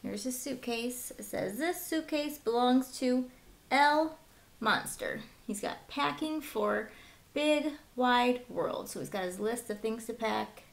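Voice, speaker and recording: A woman in her thirties reading a picture book aloud as a teacher, with simple, deliberate phrasing for young children and short asides. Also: fairly high pitch, creaky. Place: small room at home, indoors.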